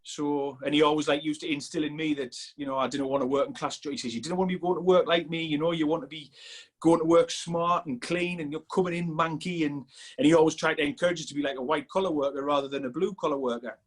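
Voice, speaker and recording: A man's voice: fairly high pitch, steady tone, quick pace. A man in his forties with a Sunderland accent, chatting casually as a podcast guest, rambling with many restarts. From Sunderland, England. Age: 40s. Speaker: strength coach guest.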